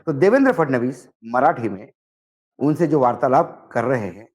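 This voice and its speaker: A man giving political commentary, speaking emphatically and deliberately in short phrases as he recounts dialogue. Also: mid-range voice, very animated, a little mellow. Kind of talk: political commentary, narrating and translating a reported conversation.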